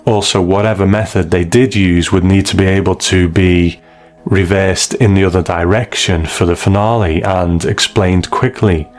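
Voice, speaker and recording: A man's voice: sits low, fairly even intonation, moderate pace. A man hosting a reflective, opinionated commentary on classic television in a conversational style. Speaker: host reviewing a classic TV episode. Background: faint music.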